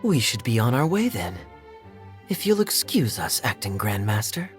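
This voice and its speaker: A suave, wry knight, voiced by a man speaks with easy confidence. His mid-pitched voice rises and falls very widely. Faint game music plays beneath. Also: big pitch swings, normal speed, natural voice. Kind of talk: video game voice acting.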